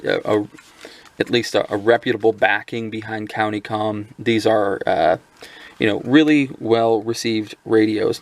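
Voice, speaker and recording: A man with a lowish, gravelly voice talks through a piece of gear in a loose, unscripted way. He restarts sentences and fills pauses with "uh" and "um" as he goes. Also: dry recording. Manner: rambling, many fillers and restarts. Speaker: radio gear reviewer. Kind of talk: casual product review.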